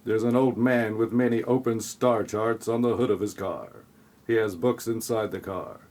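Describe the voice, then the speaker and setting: Deadpan man narrating an audio play. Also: mid-range voice. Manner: deadpan, sarcastic asides. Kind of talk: tongue-in-cheek scripted audio play narration.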